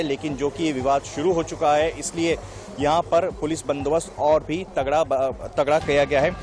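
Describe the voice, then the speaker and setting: Male field reporter, slightly high voice, outdoor report with clear music underneath. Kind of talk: live on-location news report. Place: outdoors on a city street.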